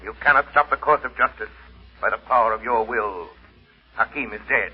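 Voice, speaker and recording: An actor playing a stern, solemn crime fighter in old radio drama, speaking with measured authority. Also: male voice, lowish voice, husky. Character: commanding, stern unseen male crime fighter. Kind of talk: 1940s radio drama acting. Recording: dull old radio recording.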